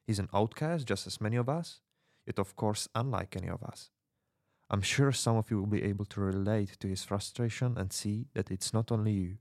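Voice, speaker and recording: A man's voice: fairly low pitch, a little mellow, dry recording, studio quality, close to an everyday voice. A man playing an earnest rebel radio host in a dystopian drama, speaking solemnly and rallying the listener in a scripted, intimate address.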